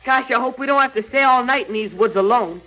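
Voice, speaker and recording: A man's voice: very high-pitched, fast, sharp tone, rough recording. An actor playing an eager, anxious young Boy Scout in an old radio serial, earnest and wide-eyed. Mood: worried. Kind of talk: 1930s radio serial acting.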